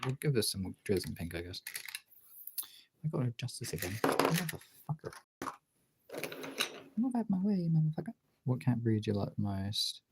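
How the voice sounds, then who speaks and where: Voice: mid-range voice, noisy background. A British streamer chatting casually and informally to viewers, thinking aloud and swearing now and then. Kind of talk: casual livestream chat.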